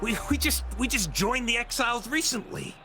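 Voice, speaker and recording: An actor playing a rough, bullying bandit in a game, drawling slang threats and stammering when cornered. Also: male voice, very high-pitched, expressive, normal speed. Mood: evasive. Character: gruff rough-talking bandit man, bullying. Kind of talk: video game voice acting. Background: music.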